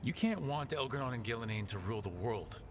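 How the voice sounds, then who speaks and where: A man's voice: medium pitch, expressive, fast, a little hiss or hum, lightly acted. A man playing a determined, heroic protector in a video game, speaking directly and insistently as he pleads a case. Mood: earnest, persuasive. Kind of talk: dramatic video game acting.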